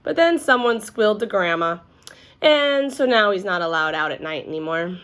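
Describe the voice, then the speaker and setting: A woman's voice: mid-range voice, lively intonation, normal speed, fairly bright tone, clear, resonant tone, lightly acted. A woman voicing an eager little schoolboy who overshares in a blunt, matter-of-fact way in a storybook. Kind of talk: children's storybook read-aloud.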